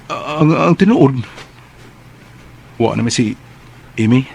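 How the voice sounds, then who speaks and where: A man's voice: medium pitch, expressive, slow pace, vocal fry, a little mellow, highs cut off. An actor playing a young man in a radio drama who stammers and hesitates while asking a woman out. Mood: nervous, earnest. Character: young man, ex-boyfriend, awkward suitor.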